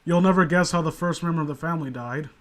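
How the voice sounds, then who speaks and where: Male co-host for comedic commentary, higher register, casual, rambling, sarcastic and crude. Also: fast, fairly bright tone.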